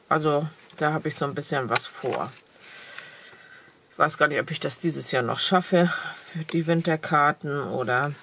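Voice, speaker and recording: Woman, has a cold, slow, gravelly voice, faint hiss, chatty craft haul. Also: deep voice, fairly bright tone. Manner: chatty, enthusiastic, many fillers. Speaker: crafting vlogger. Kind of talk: casual haul video. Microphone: camera over a desk, hands only.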